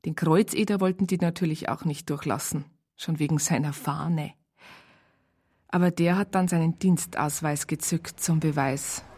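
An actress playing a wry storyteller with a Bavarian accent, narrating a radio crime drama in a colloquial, unhurried way. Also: female voice, deep voice, steady tone, a little mellow, slightly rough. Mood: dry storytelling. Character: wry female storyteller recounting police case files.

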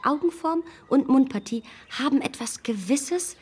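An actress playing a shrewd, self-assured young gentlewoman in a period radio drama, polite with a drily mocking streak. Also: high voice, animated, moderate pace. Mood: polite, composed.

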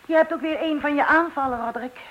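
An actress playing Jean, a sceptical wife with a dry, sarcastic way of talking, in an old radio play. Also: female voice, sits high, quick pace, fairly bright tone. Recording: dull old radio recording.

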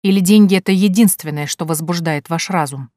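A woman's voice: lowish voice, fairly quick, warm tone, no echo, close to an everyday voice. A woman voicing an aging male count who speaks in a cold, clipped, commanding way. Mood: icy anger. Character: stern aging male count, icy and wrathful. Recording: dry close recording.

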